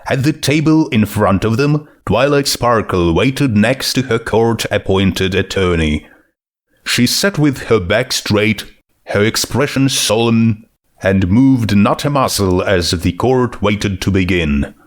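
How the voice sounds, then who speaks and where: A man narrating scripted fiction in a measured, dramatic storytelling manner. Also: lowish voice, expressive.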